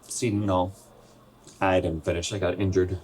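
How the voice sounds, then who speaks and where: A young man playing a wistful, self-conscious 27-year-old in a naturalistic radio drama, speaking in a halting, conversational way. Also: deep voice, level tone, darkish tone, natural voice. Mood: hesitant, reflective. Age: young adult.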